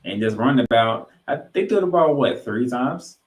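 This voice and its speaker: A man's voice: mid-range voice, animated, moderate pace. A man co-hosting a sports podcast, previewing games emphatically, posing questions and repeating phrases for effect. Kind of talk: sports talk, game preview banter. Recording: roomy, echoing sound.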